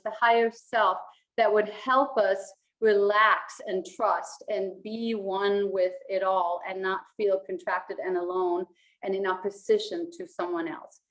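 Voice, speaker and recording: A woman, teaching to camera from a small room in a calm, reflective way. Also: medium pitch, rough recording. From Denmark. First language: Danish. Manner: calm, reflective, gestures a lot with her hands. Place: small indoor room with a plain wall.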